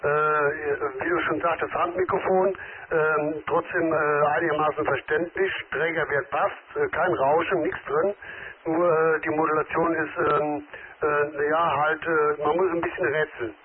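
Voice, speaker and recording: A man's voice: a little high, measured pace. A man chatting on an amateur radio contact, polite and hesitant, filling his sentences with many filler sounds. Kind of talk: casual radio contact chat. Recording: tinny radio transmission with crowd behind.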